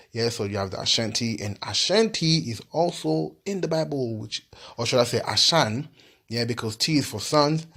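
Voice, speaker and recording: Male host from Ivory Coast, mid-pitched, loose casual delivery with lots of fillers while reading sources. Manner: loose and repetitive, with frequent "yeah" and "you know what I'm saying" fillers. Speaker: video host. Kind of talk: casual research commentary reading from websites.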